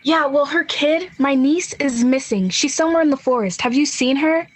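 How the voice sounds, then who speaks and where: A woman's voice: high voice, moderate pace, lightly acted. A young woman playing an earnest, slightly exasperated young adult searching for a lost niece in an amateur radio play. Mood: urgent.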